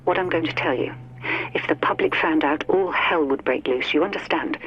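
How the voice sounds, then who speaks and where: A woman's voice: low voice, moderate pace. A woman playing an anxious, secretive scientist in a radio drama, urgent and guarded, heard through a telephone filter. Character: anxious, secretive female scientist on a phone call. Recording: muffled phone line, faint music.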